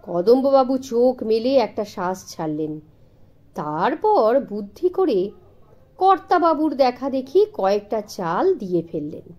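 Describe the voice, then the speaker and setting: A woman narrating a children's story with dramatic expression and deliberate pauses. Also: a little high, big pitch swings, dull audio.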